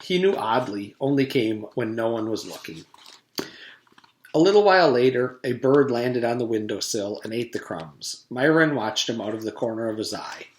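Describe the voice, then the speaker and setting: A man's voice: medium pitch, lively intonation, moderate pace. A man, a teacher, reading a children's storybook aloud to his students. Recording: echoey room.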